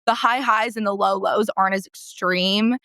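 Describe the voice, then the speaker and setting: A woman's voice: mid-range voice, fairly even intonation, moderate pace, sharp tone, clear, resonant tone, no room sound, studio quality. American woman in her thirties, rambling and conversational. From San Diego, California, United States. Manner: rambling, self-correcting, emphatic repetition. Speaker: guest, therapist. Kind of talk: casual conversational interview.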